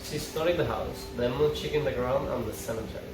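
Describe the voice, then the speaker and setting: A man's voice: medium pitch, brisk, background noise. Man reading aloud in an echoey, distant recording. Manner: informal narration, stumbling over written words. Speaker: reader of stage directions and dialogue at a script table read. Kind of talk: casual script read-through with side comments. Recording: very echoey, distant mic, background music. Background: music.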